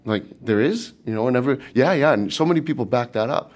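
A man's voice: mid-range voice, expressive, quick pace, a little mellow. A man from Boston with a light Boston accent, answering interview questions in a rambling way, with many fillers and restarts. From Boston, USA. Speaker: startup team member. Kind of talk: casual interview talk.

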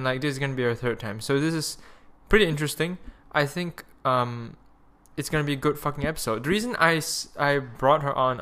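A man's voice: a little high, sharp tone. A young man with a Malaysian accent hosting a podcast in a rambling, crude, self-mocking casual chat. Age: young adult. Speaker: podcast host.

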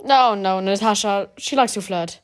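A woman's voice: medium pitch, normal speed, close to an everyday voice. A woman voicing a shy, awkward man with a hesitant, stammering manner in an audiobook. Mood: flustered.

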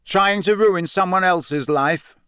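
A man voicing a loyal, hot-tempered teenage boy from a storybook, speaking bluntly and forcefully. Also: sits high, takes it slowly, clear, resonant tone, dry recording, clean studio sound, pitched above the performer's own voice, exaggerated voice. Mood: angry.

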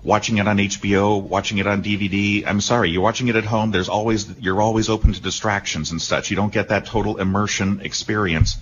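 Enthusiastic man with a low voice on talk radio. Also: fairly even intonation, brisk, few pauses. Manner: enthusiastic, emphatic storytelling. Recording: muffled radio broadcast with faint hiss.

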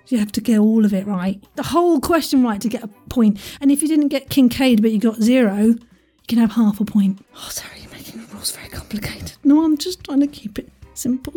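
High-pitched, husky woman hosting a playful quiz. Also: dark tone. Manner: theatrical and jokey, with gasps, asides and exclamations. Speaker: quiz host.

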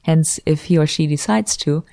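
A woman's voice: deep voice, moderate pace, gravelly, dark tone, no echo. A woman, a guest researcher explaining ideas thoughtfully in an interview and often correcting herself mid-sentence. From Switzerland. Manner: thoughtful, academic, frequent self-corrections. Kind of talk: conversational interview.

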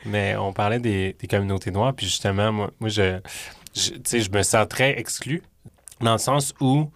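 Low-voiced Québécois man, casual podcast host. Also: moderate pace, no echo. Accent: Québécois French. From Rwanda. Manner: informal, slangy, with English words. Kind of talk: casual chat.

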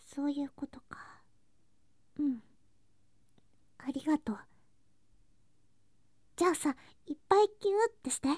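A woman playing an affectionate, clingy younger girl cousin in an intimate bedtime ASMR drama, speaking softly and breathily, often in whispers, drowsy and coaxing. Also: high voice, moderate pace, long pauses, no echo, natural voice. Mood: playfully flustered. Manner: soft, drowsy, coaxing, sweetly childlike.

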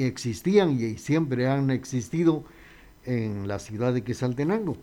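A man's voice: medium pitch, dark tone. A male radio host, talking casually and unhurriedly with frequent hesitations.

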